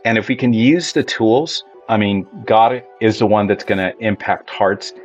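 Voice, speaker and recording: A middle-aged man from Ecuador, a pastor being interviewed, telling his story in a measured, reflective way. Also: on the low side, lo-fi sound, soft background music. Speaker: Spanish ministry pastor, guest. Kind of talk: conversational interview.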